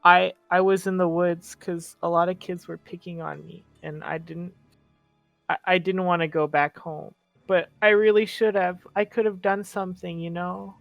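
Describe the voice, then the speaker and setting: A player voicing an earnest, frustrated teenage boy orphan in a tabletop roleplay, speaking haltingly in an everyday voice. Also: medium pitch, flat delivery, vocal fry, a bit bright, no echo, dull audio, soft background music. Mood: regretful.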